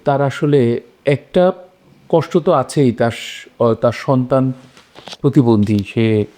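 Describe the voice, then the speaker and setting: Slow, mellow-voiced man. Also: mid-range voice. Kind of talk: slow, casual discussion.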